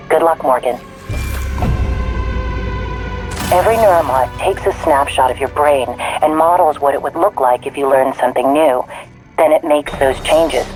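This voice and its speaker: An actor voicing a composed artificial intelligence robot in a video game, guiding the player in a measured, matter-of-fact way. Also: male voice, on the high side, lightly acted, rough recording. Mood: composed, instructive. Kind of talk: video game guide dialogue.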